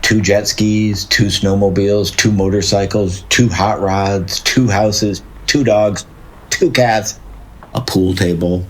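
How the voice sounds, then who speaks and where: A man playing a good-natured, simple plumber in an audio drama, plainspoken, musing and earnest, with a husky voice. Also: on the low side, steady tone, slow pace, low-quality recording. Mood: matter-of-fact.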